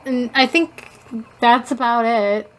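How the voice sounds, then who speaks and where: A woman co-hosting a podcast in casual banter, talking in a giggly, expressive, rambling way. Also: on the high side, slow pace, a crowd in the background, rough recording. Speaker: podcast co-host.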